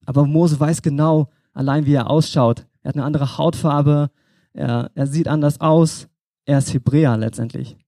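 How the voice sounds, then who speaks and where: Man, young adult, from Germany, informal sermon, conversational, direct address, mellow tone, dry recording. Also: fairly high pitch, steady tone, moderate pace, creaky, no echo. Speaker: preacher.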